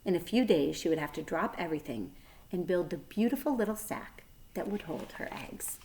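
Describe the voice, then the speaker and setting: A woman reading a children's story aloud like a teacher, with a careful, expressive delivery. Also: fairly low pitch, moderate pace, rough recording.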